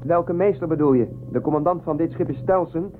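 An earnest, concerned spaceship crewman, played by a man in a science fiction radio play. His voice sits fairly high for a man. It sounds thin and narrow, with faint music behind. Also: fairly quick, natural voice. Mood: anxious, reasoning. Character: earnest, concerned male spaceship crew member. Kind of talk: science fiction audio drama acting.

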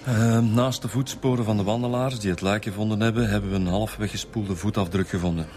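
An actor playing a dutiful police inspector in a radio drama, reporting findings a little hesitantly. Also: male voice, lowish voice, steady tone, fairly quick, darkish tone, close to an everyday voice. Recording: music behind the voice.

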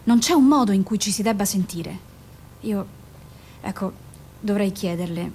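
A woman's voice: darkish tone, natural voice. Patient woman doctor, played by an actress, faint noise. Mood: gentle, careful. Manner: measured, reassuring, hesitant, apologetic. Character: careful, patient female doctor questioning a mother. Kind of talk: naturalistic radio drama acting. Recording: noisy recording with low rumble.

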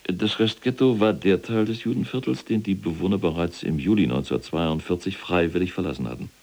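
Proud SS general, extremely low voice, husky, formal, pedantic, self-important, audio drama. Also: male voice, brisk, darkish tone. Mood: stiff, pompous. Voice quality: gravelly, husky. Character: proud, pedantic captive SS general recounting his deeds.

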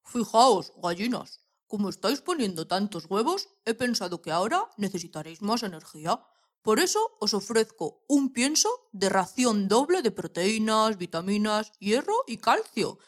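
A woman's voice: mid-range voice, sing-song, moderate pace. A woman playing a sly, sweet-talking male farmer in a children's audio story, ingratiating and salesman-like. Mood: persuasive.

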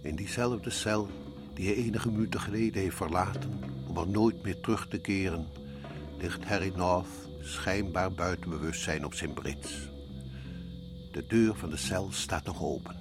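Grave male narrator for suspense radio drama, low-pitched, dramatic, over clearly audible music. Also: unhurried. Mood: solemn. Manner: solemn, dramatic.

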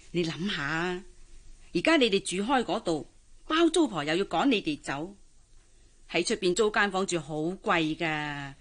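An actress with a Hong Kong Cantonese accent playing a pushy, traditional middle-aged auntie in a radio drama, coaxing and exclaiming. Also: female voice, lowish voice, natural voice. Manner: coaxing, exclaiming, sighing interjections. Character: pushy, traditional older auntie urging a girl to marry.

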